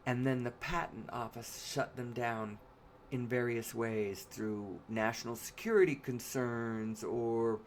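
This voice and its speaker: A woman with a hoarse, raspy voice giving a solo spoken monologue, pausing often to cough and clear her throat. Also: a bass voice, slight background noise.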